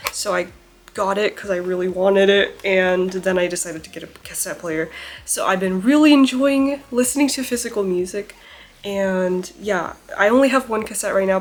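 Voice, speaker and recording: A young woman vlogging casually to camera at home, chatty and bubbly with lots of laughs and fillers, her voice a little off from a recent illness. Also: medium pitch. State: recovering from illness, slightly off voice. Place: indoors at home by a plain wall.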